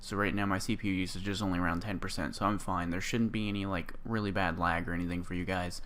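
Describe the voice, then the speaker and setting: A man with a hoarse, sore-throated voice, casually walking through his game build and rambling with lots of ums. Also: sits low, fairly even intonation, fast, a bit bright, a little hiss or hum. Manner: rambling, full of ums and restarts. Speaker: gaming video creator. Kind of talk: casual gameplay build walkthrough.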